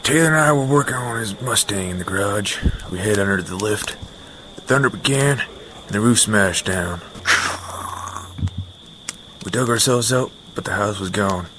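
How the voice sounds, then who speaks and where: An actor plays a disaster survivor telling a story of loss in an audio drama. His male voice is fairly low, with faint noise behind it. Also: normal speed, natural voice. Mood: grave.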